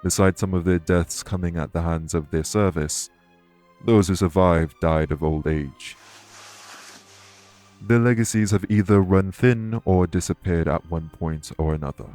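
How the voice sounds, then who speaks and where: A man playing a courteous, dour immortal king in an audio roleplay, speaking in a formal, measured way. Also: low voice, darkish tone, faint music behind. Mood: somber, dour. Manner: formal, measured, gravely polite. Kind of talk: immersive audio roleplay acting addressed to listener.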